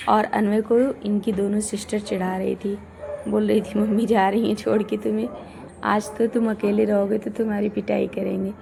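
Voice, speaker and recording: A woman in her thirties with a hoarse voice, chatting homely and warmly to her viewers as she narrates a travel vlog. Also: mid-range voice, level tone, moderate pace, background noise. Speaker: vlogger.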